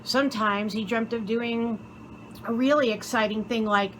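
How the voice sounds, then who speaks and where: A woman reading a children's story aloud in an expressive, theatrical way, with deliberate dramatic pauses. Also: a little high, a little hiss or hum, low-quality recording. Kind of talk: expressive scripted story narration.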